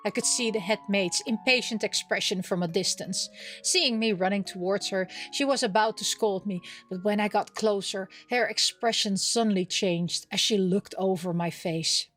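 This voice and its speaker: A woman's voice: medium pitch, moderate pace, fairly bright tone. A woman streaming a visual novel, chatting casually and reading the game text aloud. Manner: reacts aloud with small interjections. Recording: music playing behind the voice. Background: game music.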